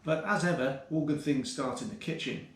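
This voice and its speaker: A man's voice: fairly high pitch, normal speed. British guy doing a chatty bike gear review, keen and explaining stuff, echoey kitchen, bit of background noise. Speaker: motorcycle vlogger reviewing gear. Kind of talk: casual product review to camera. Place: indoors, in a kitchen. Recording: roomy echo, faint background noise.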